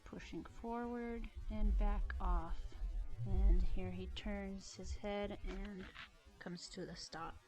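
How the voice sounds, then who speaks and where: A woman narrating a video of her horse's training in a relaxed, halting way with many fillers, sniffling a little. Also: medium pitch, slight background noise, low-quality recording. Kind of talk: casual voice-over commentary.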